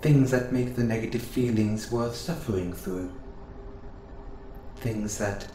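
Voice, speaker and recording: A man playing a gentle, curious robot assistant who speaks in formal, precise phrases, close and intimate in an audio roleplay. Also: on the low side, takes it slowly. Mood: wistful. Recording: roomy sound with clear background noise.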